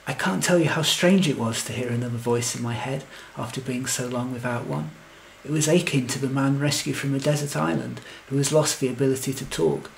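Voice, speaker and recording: A man's voice: medium pitch, gravelly, a little hiss or hum, close to an everyday voice, rough recording. A man playing a lovelorn, anxious, wry fellow who tells his own comic story in earnest, wordy scripted lines. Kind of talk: scripted comic first-person narration and verse.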